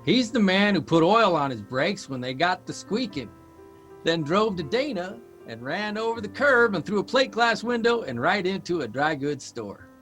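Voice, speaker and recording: An actor playing an earnest, worried grown son in a naturalistic audio drama, halting and exasperated but affectionate. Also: male voice, high-pitched, big pitch swings, a bit bright. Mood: wry, fond. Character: earnest grown son, a newspaper writer, worried about his aging father. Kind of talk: naturalistic audio drama acting at a live reading. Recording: music behind a live stage reading.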